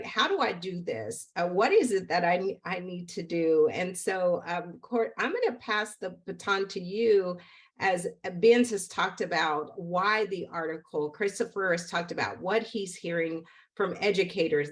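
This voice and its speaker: An American woman in middle age hosts an online discussion in a lowish voice. She phrases things deliberately, pausing and repeating words as she hands the conversation along. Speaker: panel moderator. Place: home room on video call.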